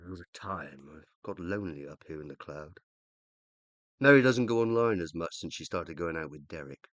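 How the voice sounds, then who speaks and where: Needy, indignant uploaded-scientist husband, animated. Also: male voice, low-pitched, normal speed, no echo. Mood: wounded, wistful. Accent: British. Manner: pompous, plaintive. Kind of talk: radio play dialogue and monologue.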